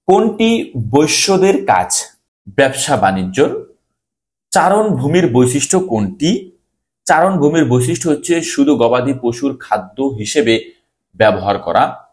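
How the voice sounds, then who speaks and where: A man with a Bangladeshi accent giving an exam-prep lesson, reading out questions and answers in an instructive way and repeating key points. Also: medium pitch. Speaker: teacher presenting exam questions.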